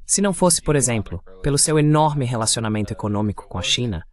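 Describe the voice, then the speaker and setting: Measured man hosting a political interview. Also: mid-range voice, lively intonation. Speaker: interview host. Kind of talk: political commentary, dubbed voice-over.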